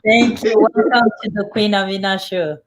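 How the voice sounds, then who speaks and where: A woman's voice: medium pitch, fairly even intonation, normal speed. A woman hosting a live online talk show, chatty and upbeat, with fillers and small restarts. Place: home studio in Canada.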